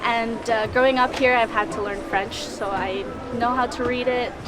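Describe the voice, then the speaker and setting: A woman with a fairly high voice answers in a friendly but hesitant way, often stopping on "um." A crowd and street noise press in clearly around her. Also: steady tone, moderate pace. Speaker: interviewee. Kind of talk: casual street interview.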